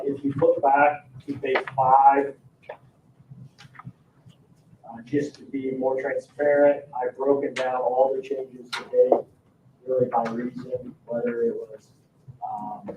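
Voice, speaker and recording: An American man giving an informal budget presentation at a public meeting in a halting, hesitant way, as a construction project manager. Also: mid-range voice. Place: indoor town council meeting room. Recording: roomy, distant, dull meeting-room audio with faint noise.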